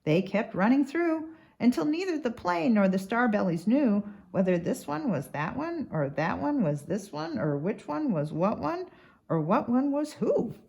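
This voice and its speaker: A woman giving an expressive, rhythmic and dramatic narration of a children's storybook. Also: on the high side, unhurried, gravelly. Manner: rhythmic, dramatic read-aloud. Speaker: doctor reading a children's book.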